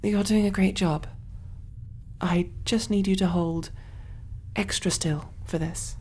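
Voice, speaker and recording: A woman playing a kind, motherly alien surgeon in a soft-spoken ASMR roleplay, gentle and reassuring, breathy and often whispery, musing aloud as she works. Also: lowish voice, steady tone, slow pace.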